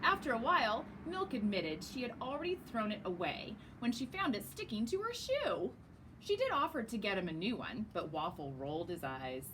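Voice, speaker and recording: A woman reading a children's story aloud in a very expressive, emphatic narrating style. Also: mid-range voice, normal speed, noisy background. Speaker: storyteller reading a picture book.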